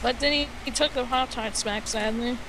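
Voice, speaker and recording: A playful, halting speaker from the United States telling a rambling tall tale as a guest on an internet voice call. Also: medium pitch, steady tone. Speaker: guest storyteller on a gaming voice call. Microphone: Skype call. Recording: clearly noisy internet voice call.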